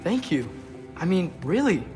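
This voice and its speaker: A polite, unsure teenage boy for a video game. He speaks slowly and haltingly with lively swings in a fairly high voice for a man, over clear music. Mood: grateful, surprised. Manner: halting, tentative. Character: hesitant, curious teenage boy, awkward and polite. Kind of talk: video game cutscene acting.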